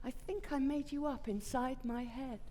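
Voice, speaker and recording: A woman giving a deliberate, dramatic reading of a poem on a theatre stage. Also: high-pitched, fairly even intonation, mellow. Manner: deliberate, measured. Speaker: actor reading poetry.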